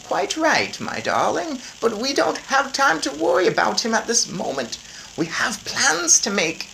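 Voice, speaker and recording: A woman's voice: fairly high pitch, wildly swooping pitch, a little slow, vocal fry, pushed and bright, slight background noise, pitched above the performer's own voice, full character voice, rough recording. A woman voicing a doting, practical old grandmother in a storybook, emphatic and instructive. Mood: brisk, urgent.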